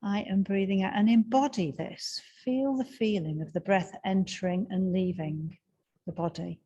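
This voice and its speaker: A woman guiding a breathing meditation in a slow, soft and soothing way, with long pauses. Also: medium pitch, husky, muffled recording. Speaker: meditation guide.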